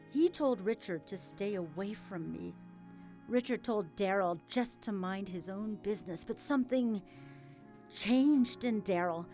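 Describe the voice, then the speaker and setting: A woman playing a grieving, hesitant farm widow in an audio drama, speaking in a halting, emotional way. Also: medium pitch, darkish tone, lightly acted. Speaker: voice actor.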